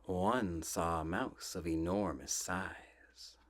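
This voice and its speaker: A soft-spoken man reading children's books aloud in a gentle, playful ASMR style, often dropping close to a whisper. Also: low voice, fairly even intonation. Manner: gentle, drawn-out, playful storybook delivery.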